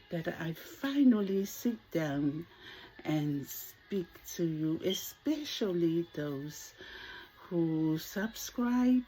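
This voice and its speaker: A woman's voice: fairly low pitch, dark tone, slightly rough, rough recording. A Samoan woman in her sixties, speaking English as a second language, talking to camera in a hesitant way as she searches for words. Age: 60s. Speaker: vlogger. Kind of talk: casual talk to camera.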